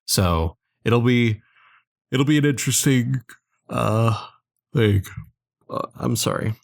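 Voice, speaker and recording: A man in his early twenties, tired with a sore throat and slight cold, chatting casually and haltingly as the narrator of a fan audio play. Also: medium pitch, vocal fry, dry recording, studio quality. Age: early 20s. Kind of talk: casual, rambling outro chat.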